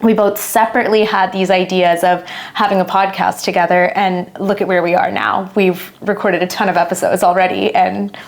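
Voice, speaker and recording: A woman's voice: medium pitch, flat delivery, brisk, vocal fry, few pauses. Upbeat woman co-hosting a podcast. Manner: upbeat, enthusiastic. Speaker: podcast co-host. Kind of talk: casual chat.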